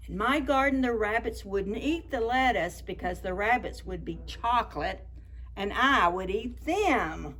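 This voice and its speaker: Female story time host for preschoolers, high-pitched and expressive, chatty asides, noise in the background. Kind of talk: animated picture book read-aloud for preschoolers.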